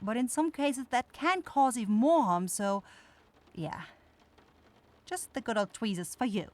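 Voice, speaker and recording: Woman acting a flustered, high-voiced forest witch. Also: lively intonation, measured pace. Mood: focused, hesitant. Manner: flustered, hesitant, blurting. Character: flustered young woman forest witch, caring, easily rattled. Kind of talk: ASMR fantasy roleplay acting.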